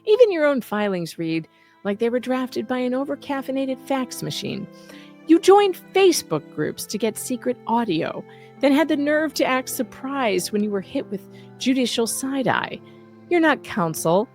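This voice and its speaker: A woman's voice: sits high, very animated, normal speed, a little mellow, soft background music. A woman reading out a scripted satirical roast as an online host, with a sarcastic, punchy, emphatic delivery.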